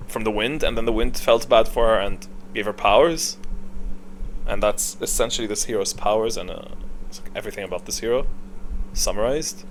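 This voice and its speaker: A man's voice: mid-range voice, fairly bright tone. A man giving casual, unscripted gaming commentary in a blunt, opinionated way, swearing now and then. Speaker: video game streamer giving tier rankings. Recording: clear background noise.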